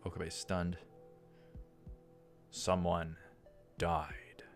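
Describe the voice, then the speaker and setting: A man's voice: low voice, flat delivery, extremely slow, soft background music. A man hosting a let's play, reading a visual novel's narration aloud and adding brief asides. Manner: stumbles over lines and corrects himself.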